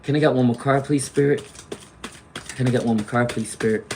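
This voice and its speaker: A man doing a live tarot reading, chatty and reassuring, clearing his throat often as he loses his voice to laryngitis. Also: mid-range voice, steady tone, fairly quick, darkish tone, slight background noise. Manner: chatty, reassuring, frequent "okay?" and "all right?" tags. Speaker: tarot reader; teacher.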